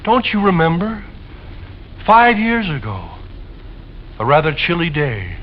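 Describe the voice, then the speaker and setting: Muffled, noisy vintage radio; a high-pitched, hesitant widower, pleading and creepily obsessed, suspense drama. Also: male voice, wildly swooping pitch. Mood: eerie, insinuating. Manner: hesitant, insinuating, halting. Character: nervous, pleading, eerily obsessed widower tenant. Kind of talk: old-time radio suspense acting.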